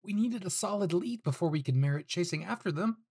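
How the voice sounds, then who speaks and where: A man's voice: sits high, animated, a little fast, darkish tone, husky, voice pushed up, heavily acted. A man voicing an earnest, anxious young male hero who pleads on principle in an anime fan audio drama. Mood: pleading.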